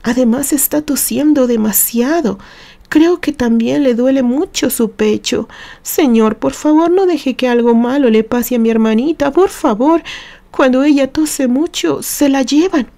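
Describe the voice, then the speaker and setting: A woman acts out a worried little boy in an audio story. The voice is raised high and soft-edged. He pleads and apologizes, a protective big brother who sounds small and anxious. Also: measured pace. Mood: worried, pleading. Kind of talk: audio story character acting.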